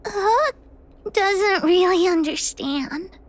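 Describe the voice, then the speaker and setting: A woman's voice: very high voice, extremely slow, full character voice. A woman voicing an earnest, childlike little girl devoted to her daddy in a video game. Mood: puzzled. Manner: speaks of herself in third person, childish.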